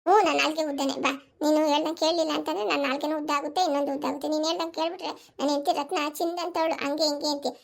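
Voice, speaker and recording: Woman acting shrill-pitched, rapid-fire scolding village housewife. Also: little pitch movement, creaky. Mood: indignant, sarcastic. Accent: rural southern Karnataka. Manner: scolding, complaining, rhetorical questions. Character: overworked, sharp-tongued village housewife and mother. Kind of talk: family cartoon dialogue.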